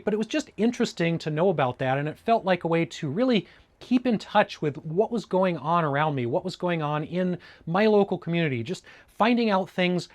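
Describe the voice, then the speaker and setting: A middle-aged man from Alaska with an American accent, talking casually and informatively as a hobbyist video host in a conversational, explanatory way. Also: sits high. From Alaska, United States. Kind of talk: casual informative vlog talk.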